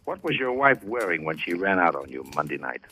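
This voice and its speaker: Gruff, skeptical police detective for 1940s radio mystery; forceful edge, dry sarcasm, faintly noisy vintage recording. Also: male voice, deep voice, sing-song, fairly quick, exaggerated voice. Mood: accusing. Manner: blunt, sardonic, folksy. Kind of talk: 1940s radio drama acting.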